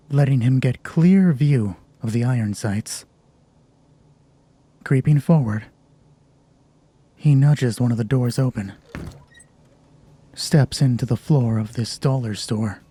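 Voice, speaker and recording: A man narrating a solo tabletop horror roleplay as game master in measured, short descriptive phrases. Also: mid-range voice, dark tone, slightly rough. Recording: music behind the voice. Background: music.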